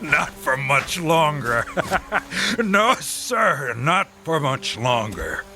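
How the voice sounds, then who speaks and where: An actor playing a taunting, folksy soldier in a radio drama, with a drawling, mock-friendly manner. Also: male voice, on the high side, big pitch swings, extremely slow, pushed and bright, soft background music, heavily acted. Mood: gloating. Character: taunting, folksy, cruel soldier guarding a condemned man.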